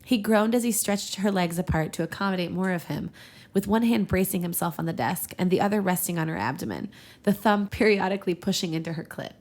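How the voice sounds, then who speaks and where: A woman's voice: fairly low pitch, a little fast, darkish tone. A woman podcast host reading fan fiction aloud for comedy, giggly and ready to break off into banter.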